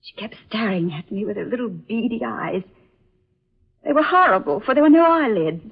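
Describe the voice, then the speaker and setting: A frightened young woman in an old radio thriller. Her delivery is breathy and husky, heavy with theatrical dread. The recording is dull and hazy, like a 1940s broadcast. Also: on the high side, expressive, moderate pace. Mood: horrified. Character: frightened young woman at sea, recounting horrors.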